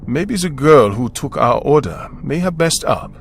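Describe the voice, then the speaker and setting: An actor playing a tired, caring middle-aged father from South Korea, speaking calmly in a told story. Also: fairly high pitch, unhurried, slight background noise. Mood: puzzled. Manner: calm, weary and caring. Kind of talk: radio-style story acting.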